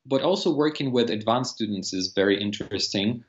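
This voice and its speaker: A young man whose first language is Russian talks earnestly over a video call, often stopping to restart with "uh" and "um". His voice sits on the low side. The call audio sounds dull and lo-fi. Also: expressive, a little fast. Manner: earnest, frequent fillers and restarts. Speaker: language teacher, guest. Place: room with teal wall.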